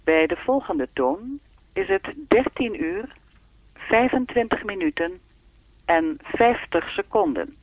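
A woman playing a lonely speaking clock in a radio play, measured, formal and deadpan, through a telephone filter. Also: medium pitch. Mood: formal, automatic. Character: lonely, evasive female speaking-clock voice with a will of its own. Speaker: telephone time announcer. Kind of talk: radio play acting, formulaic announcement turning to dialogue. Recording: narrow, tinny phone-line sound.

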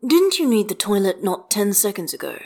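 A woman's voice: medium pitch, sing-song, fairly quick, gravelly, a little mellow. A woman reading the part of a bemused, fussy adult man who protests in a storybook reading.

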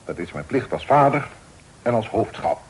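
Stern, reproachful father, animated delivery. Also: lowish voice, moderate pace. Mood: stern, indignant. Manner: formal, reproachful, interrogating. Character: stern, suspicious father and city chief magistrate. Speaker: radio play actor. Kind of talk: Dutch radio drama acting. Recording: faintly noisy old radio play recording.

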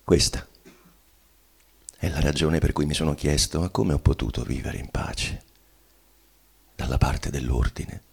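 An actor playing a dead bourgeois man bitterly confessing in a theatrical monologue, with halting, weighty phrasing and sudden swells. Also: rumbling low, vocal fry, darkish tone, slightly rough, full character voice. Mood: brooding, searching. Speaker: stage actor giving a dramatic reading. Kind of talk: theatrical monologue reading of a literary play.

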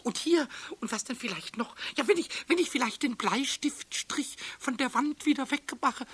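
An actor voicing a cheeky, excitable, childlike little kobold with a high, squeaky voice, stammering and repeating words in a children's radio play. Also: male voice, moderate pace, husky, exaggerated voice. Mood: anxious. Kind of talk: 1970s German children's radio play acting.

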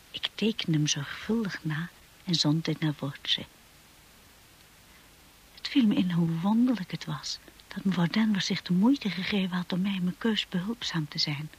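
A breathy, earnest young wife. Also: female voice, lowish voice, low-quality recording. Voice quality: husky, breathy. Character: timid young wife narrating her own story, eager to please. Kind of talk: radio drama acting and first-person narration.

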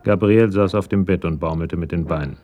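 An actor narrating a radio crime story in a measured, matter-of-fact way. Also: male voice, sits low, dark tone, natural voice. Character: sober male narrator of a police crime story.

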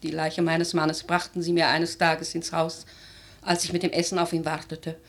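A woman's voice: deep voice, completely flat delivery. Imperious old aristocratic grandmother. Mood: grave, remembering. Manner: theatrical, measured, lyrical, with emphatic swells and hushed phrases. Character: aristocratic old grandmother, worldly, life-loving, imperious and impatient. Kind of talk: literary German radio drama acting.

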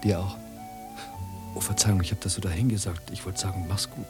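Calm household partner male actor, radio drama: lowish voice, soothing manner, music clearly behind. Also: a little fast, close to an everyday voice. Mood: gentle. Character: calm, soft-spoken partner smoothing over household quarrels. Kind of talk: radio play acting.

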